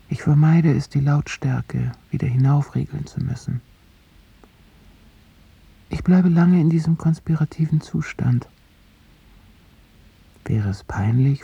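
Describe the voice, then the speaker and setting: A man narrating a radio drama in the first person, in a hushed voice that often drops into a whisper. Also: medium pitch, highs cut off. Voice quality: gravelly, raspy voice. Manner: hushed, partly whispered, careful.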